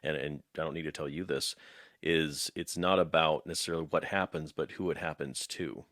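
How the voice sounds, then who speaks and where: A man's voice: rumbling low, steady tone, a little fast, a bit bright, no echo, studio quality. A man hosting a casual interview, conversational and emphatic, repeating words for stress. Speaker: interviewer, host.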